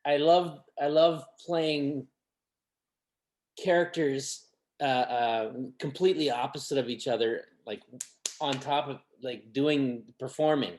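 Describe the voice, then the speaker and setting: Halting man in casual conversation. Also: mid-range voice, darkish tone. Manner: halting, repeats himself. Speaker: voice actor in an audio drama. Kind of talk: casual chat.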